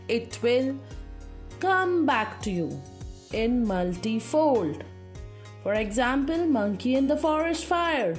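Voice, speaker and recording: Woman, children's storyteller, higher-pitched, extremely slow and deliberate, teacher addressing pupils, music in the background. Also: darkish tone. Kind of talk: moral storytelling for young children.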